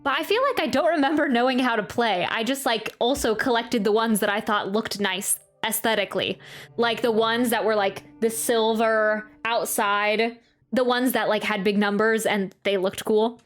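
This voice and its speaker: A woman's voice: fairly high pitch, moderate pace, faint music behind. A woman giving casual gameplay commentary, chatty and rambling, often interrupting herself mid-sentence. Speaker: streamer reacting to a video game.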